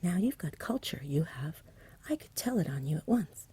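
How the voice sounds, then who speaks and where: A woman voicing a lazy, genial, poetry-loving male dragon from a storybook in a soft whisper, with a chatty, polite, dreamy manner. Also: low voice, big pitch swings, moderate pace, slightly rough, heavily acted. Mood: bashful, eager.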